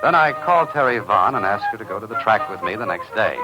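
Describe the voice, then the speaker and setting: A man's voice: on the low side, very animated, fast talker, low-quality recording. A man playing a cool, dryly sly investigator who narrates his own adventure in a 1950s radio mystery. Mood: wry, measured. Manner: dry, playfully sly, clipped. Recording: vintage radio recording with music.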